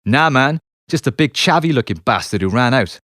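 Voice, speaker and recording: A man's voice: medium pitch, expressive, normal speed, fairly bright tone, dry recording, clean studio sound, lightly acted. A man voicing a big, matey, blunt bandmate in an audiobook, casual, sweary and emphatic. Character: tall muscly male bandmate, matey and blunt.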